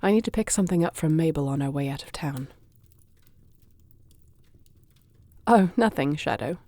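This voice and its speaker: A woman's voice: deep voice, expressive, fast talker, warm tone, no echo. A woman playing a wry, shrewd thief for hire in a fantasy audio drama, with a dry, teasing, understated delivery. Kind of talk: fantasy audio roleplay acting.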